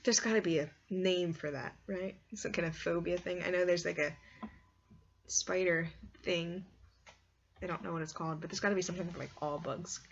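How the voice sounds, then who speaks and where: A woman's voice: fairly low pitch, moderate pace. A woman chatting casually and expressively like a video blogger, rambling along with "like" sprinkled through her sentences. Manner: expressive, squeamish, exclaims in disgust; heavy use of "like" as a filler. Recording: dull lo-fi sound with clear background noise.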